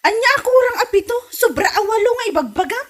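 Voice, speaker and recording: A woman's voice: extremely high pitch, expressive, quick pace. A woman with an Ilocano accent playing a pretty, outspoken young village maiden in a comedy audio drama, frank and girlish. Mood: scolding. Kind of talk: amateur Ilocano comedy radio drama acting.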